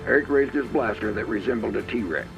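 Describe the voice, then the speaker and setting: Low-voiced man narrating an audio drama. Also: a little fast, over music, rough recording. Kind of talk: scripted narration.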